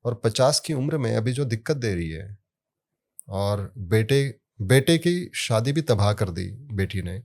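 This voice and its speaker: A low-pitched man speaks in an easy, explaining way, weaving family stories into his points. He pushes sudden emphasis onto the words that matter, then drops back to a calm, even tone. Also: unhurried. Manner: storytelling with anecdotes, emphatic rises on key words. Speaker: sound therapy guest. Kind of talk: conversational explaining.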